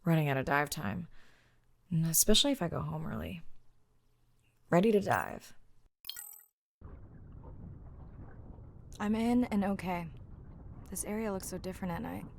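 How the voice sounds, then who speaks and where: Low-voiced earnest woman diver. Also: moderate pace, creaky, long pauses. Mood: reflective. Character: dedicated young woman deep-sea diver and ocean scientist. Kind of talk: naturalistic game voice acting.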